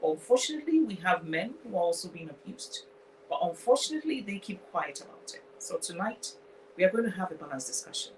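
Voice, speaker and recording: A woman's voice: fairly low pitch, very animated, brisk, a little hiss or hum, poor audio. A woman hosting an interview, effusive and full of "I mean" and "you know". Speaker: host.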